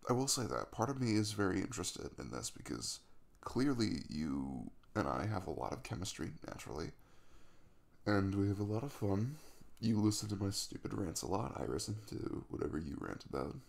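A young man playing an easygoing, caring crush in an intimate ASMR roleplay, rambling casually with a jokey, reassuring manner and a gravelly voice. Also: low-pitched, very animated, moderate pace, exaggerated voice. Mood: gentle, hesitant. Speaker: audio roleplay performer.

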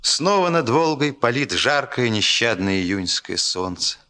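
An actor playing the earnest, solemn storyteller of a Soviet radio play, narrating in an emphatic, rhetorical way. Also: male voice, medium pitch, sing-song, a little slow, bright, forceful tone. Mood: scene-setting.

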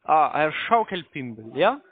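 Guy with foreign accent doing a polite halting sales pitch, highish voice, tinny phone line. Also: very animated. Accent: foreign, non-native German. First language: not German. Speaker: telephone sales caller.

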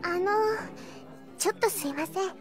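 A woman's voice: very high-pitched, moderate pace, over music, a crowd in the background. A woman voicing an earnest, clumsy teenage girl, girlish and hesitant yet insistent, in an audio drama. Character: teenage girl, earnest, clumsy, fortune-telling high schooler. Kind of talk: scripted Japanese anime-style audio drama acting.

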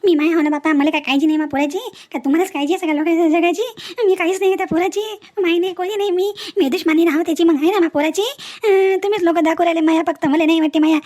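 A woman's voice: very high voice, fairly even intonation, fast talker, few pauses, darkish tone. A woman voicing a defensive, self-pitying village mother in a cartoon comedy, complaining repetitively with a rural Varhadi Marathi accent. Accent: Varhadi Marathi from Vidarbha, Maharashtra. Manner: complaining, repetitive rustic wailing talk. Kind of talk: cartoon family comedy dialogue.

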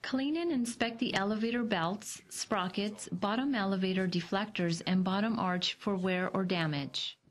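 A woman reading scripted step-by-step repair instructions as a voice-over, in a measured, even way. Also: medium pitch. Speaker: instructional narrator.

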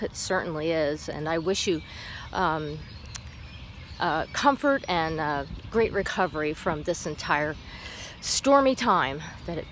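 A woman's voice: medium pitch, a bit bright, a little hiss or hum. A middle-aged woman chatting cheerfully on a live walking vlog, laughing often, recorded outdoors on a phone held at arm's length. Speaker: vlogger. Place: outdoors on a path in a park.

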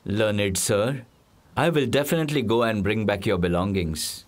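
A man voicing a wise, dutiful elder brother prince in a cartoon, measured and formal. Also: fairly low pitch, close to an everyday voice. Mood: calm.

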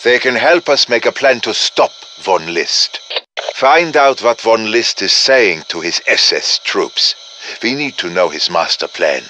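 An actor playing a grave, commanding professor of the occult in a video game, speaking in an urgent, formal, theatrical manner. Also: male voice, fairly low pitch, lively intonation, measured pace, bright, forceful tone, slight background noise. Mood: earnest. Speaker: mission-giving game character. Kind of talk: dramatic video game voice acting.

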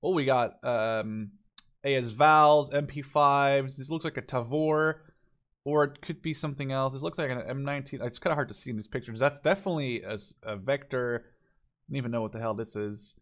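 A man's voice: mid-range voice, moderate pace, fairly bright tone. A man giving casual, unscripted commentary on a game as he plays, informally thinking aloud. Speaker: gameplay video host.

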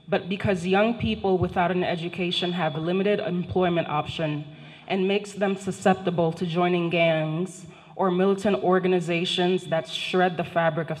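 A middle-aged woman whose first language is not English, giving a formal prepared lecture from a panel table into a handheld microphone, speaking directly to the audience. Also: fairly low pitch. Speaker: guest speaker, professor. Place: large indoor function hall, seated at a panel table. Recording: long hall reverb.

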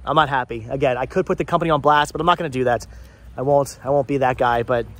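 A man in his thirties vlogging outdoors with the phone at arm's length, talking fast and rambling in an exasperated way, often repeating words. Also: medium pitch, a bit bright. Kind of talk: frustrated life-update vlog. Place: outdoors in a house yard. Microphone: phone camera held at arm's length.

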